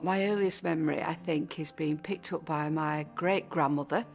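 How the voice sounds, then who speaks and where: A woman in her sixties speaks in a low voice with a gravelly edge. She reminisces thoughtfully and at an easy pace. Faint music sits behind her. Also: steady tone, normal speed. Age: 60s. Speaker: interviewee. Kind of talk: reminiscing about childhood.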